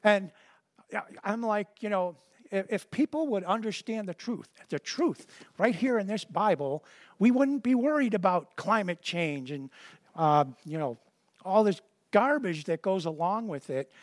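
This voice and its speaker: High-pitched male preacher, conversational, stumbles into sentences, reads verses slowly. Dry recording. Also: expressive, dry recording. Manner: conversational, repeats words when starting sentences; reads scripture slowly and deliberately. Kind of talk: sermon with scripture reading.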